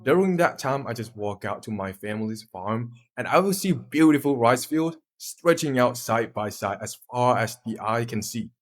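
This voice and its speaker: A man's voice: mid-range voice, lively intonation, normal speed, hardly any pauses. A twenty-two-year-old man with a Thai accent, presenting a scripted class talk in a friendly way. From Thailand. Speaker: student presenter.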